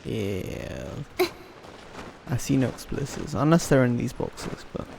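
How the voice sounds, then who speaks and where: A man's voice: medium pitch, very animated, slow pace, a little mellow, a little hiss or hum. A man doing casual gameplay commentary as a streamer, blunt and slangy, muttering to himself. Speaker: game streamer. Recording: game music clearly behind.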